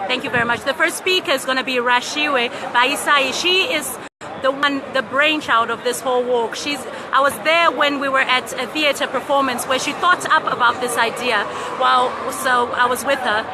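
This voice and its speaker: A woman's voice: high-pitched, normal speed. A woman reporter with a loose, friendly manner, introducing speakers at an outdoor event. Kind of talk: on-the-spot reporting. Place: outdoors outside an embassy. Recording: crowd noise outdoors.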